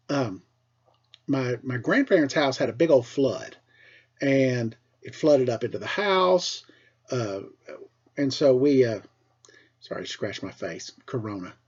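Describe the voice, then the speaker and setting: A middle-aged American man, a teacher with a husky voice, tells casual personal stories for children in an animated way, acting things out as he goes. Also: medium pitch, dull audio. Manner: animated, acts out dialogue and sound effects. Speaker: school teacher telling stories to pupils.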